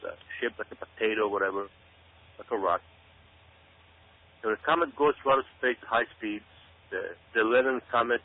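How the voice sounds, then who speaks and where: A man from Israel giving emphatic, explanatory talk-radio commentary as a guest, often repeating phrases for stress. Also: lowish voice. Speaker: author and researcher, radio show guest. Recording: tinny phone-like radio audio.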